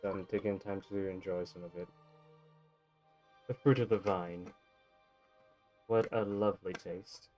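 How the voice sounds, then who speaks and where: A man's voice: deep voice, fairly even intonation, a little slow, long pauses, warm tone. A man giving casual video game commentary, thinking aloud in a rambling way. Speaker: streamer playing a video game. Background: video game music.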